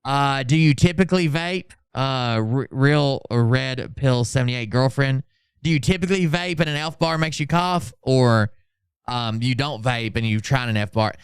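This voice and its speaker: Sarcastic man with a clear, close voice. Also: medium pitch, a little slow, no room sound. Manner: sarcastic, sweary, mock-dramatic when reading posts out. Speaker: livestream host. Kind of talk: mocking commentary on social media posts, casual livestream chat.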